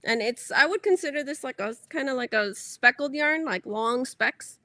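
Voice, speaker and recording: A woman's voice: high voice, normal speed, pushed and bright, dry recording. A woman hosting a crochet livestream, chatting casually and rambling as she corrects herself. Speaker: crochet channel host.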